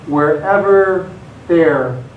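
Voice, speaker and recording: An actor playing a fond, reflective relative in a live radio drama monologue. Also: male voice, a little high, extremely slow, narrow, tinny audio. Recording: echoey hall, far from mic, faint noise.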